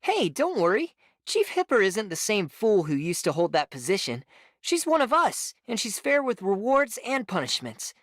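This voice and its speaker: A woman's voice: medium pitch, sing-song, normal speed, dry recording, studio quality. An actress playing an outspoken, opinionated townswoman in an anime game, talking emphatically. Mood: reassuring. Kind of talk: English anime game voice acting.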